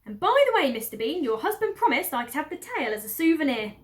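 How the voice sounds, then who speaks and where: A woman voicing a big, loud middle-aged housemaid who talks eagerly and gossips. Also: sits high, sing-song, quick pace, pitched above the performer's own voice.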